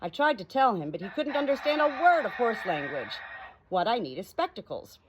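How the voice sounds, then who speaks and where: A woman's voice: on the high side, very animated, a little fast, dull audio, noisy background, pitched above the performer's own voice. A woman voicing an aggrieved male plow horse with a matter-of-fact, complaining manner for a storybook.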